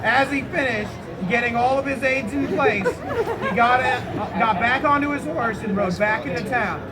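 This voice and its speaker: Male live comedy storyteller, strikingly high voice, amused, given to asides, recorded amid a very loud crowd. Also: expressive, moderate pace. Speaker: storyteller hosting an audience word game. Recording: loud crowd noise, roomy live event. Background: loud laughing crowd.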